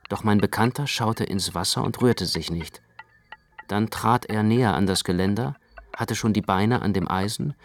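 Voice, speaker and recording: A man narrating a literary radio play in the first person, with measured, expressive storytelling. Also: fairly low pitch, gravelly.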